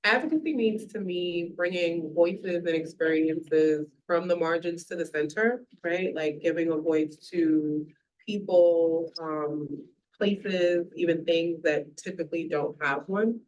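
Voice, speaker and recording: A woman panelist speaking in a lively, wry way. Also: on the low side, measured pace, far from the mic, lo-fi sound. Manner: lively, wry, self-described contrarian. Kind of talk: panel discussion.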